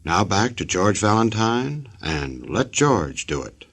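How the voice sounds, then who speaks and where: Male radio announcer, American accent, very low pitch, husky, animated, tongue-in-cheek scripted narration. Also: slow, a little hiss or hum.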